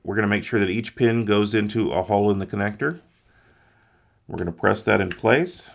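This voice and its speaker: A man's voice: lowish voice, brisk, vocal fry. A man walking through an electronics repair step by step in a plain, methodical way. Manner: methodical, plain instructional delivery. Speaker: repair instructor.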